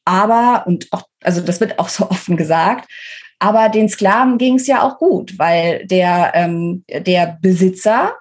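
A woman's voice: on the low side, a little slow. Woman explaining in an interview. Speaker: interview guest, book author. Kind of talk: explanatory interview talk on a podcast.

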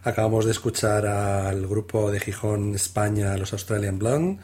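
A man co-hosting a casual music podcast, introducing songs in a relaxed, halting way. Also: fairly low pitch, close to an everyday voice. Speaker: podcast co-host. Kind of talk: casual radio chat, playing another show's host.

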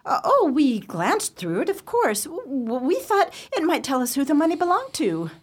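A woman's voice: high-pitched, wildly swooping pitch, normal speed. A woman playing a frightened, polite wife in an old-time radio thriller, hesitant and stammering. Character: nervous, frightened, polite wife cornered by gangsters.

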